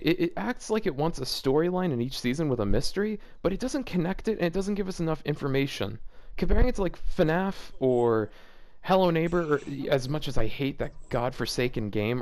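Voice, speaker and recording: A man's voice: a little high, lively intonation, fairly quick, hardly any pauses, darkish tone. A man reading fan fiction aloud as a YouTuber, breaking off into casual, exasperated and baffled commentary.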